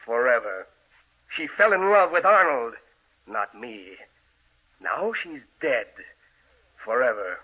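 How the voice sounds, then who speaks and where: A man's voice: medium pitch, sing-song, slow pace, pauses often, breathy edge, heavily acted. A man playing a scheming, commanding brother with a murderous streak, in theatrical, emphatic old-time radio horror style. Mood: gloating madness. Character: scheming, commanding brother who turns gloating, mad murderer. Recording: tinny phone-like vintage radio recording.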